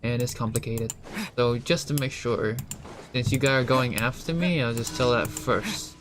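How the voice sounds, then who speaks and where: A man chatting casually as he live-streams a game, halting and repeating himself with mumbled asides. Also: medium pitch. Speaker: gaming streamer. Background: music and keyboard typing.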